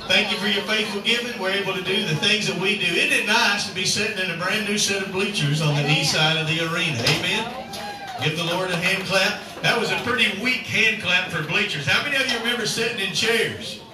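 A man's voice: sits high, brisk. A man, a cowboy church pastor giving folksy announcements and a sermon outdoors, calling on the crowd to answer. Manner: folksy, chatty, calls for crowd response. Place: outdoor rodeo arena at sunset. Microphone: far camera across the arena. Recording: echoey outdoor arena from far off, noisy.

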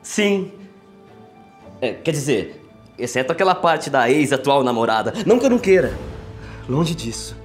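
A man's voice: medium pitch, normal speed, pauses often, close to an everyday voice. Guy acting as a jokey brash businessman, voice all over the place, music playing under it. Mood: earnest. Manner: animated, joking. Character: brash young businessman, impulsive and joking. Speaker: lead actor. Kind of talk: film drama acting. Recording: film dialogue with music underneath.

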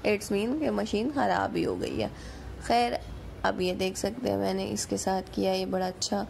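A woman's voice: mid-range voice, lively intonation, unhurried, vocal fry, a little mellow, a little hiss or hum. A woman with a Pakistani accent giving a chatty, informal recipe voice-over as a home cook.

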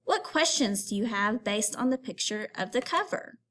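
A woman teacher giving a read-aloud lesson for children, instructive and expressive, as if putting questions to pupils. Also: on the high side, normal speed.

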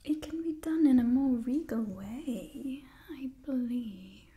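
A young woman playing a refined, doting vampire clan leader in a whispered ASMR roleplay, speaking in a genteel, gently imperious manner. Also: high voice, slow pace. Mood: doting, admiring. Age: young adult. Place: small indoor room with wooden backdrop.

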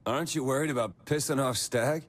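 An actor playing a brash, swaggering gang boss in a video game, speaking bluntly and casually. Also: male voice, mid-range voice, fairly quick, close to an everyday voice. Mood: casual confidence. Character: brash, cocky, foul-mouthed young gang boss.

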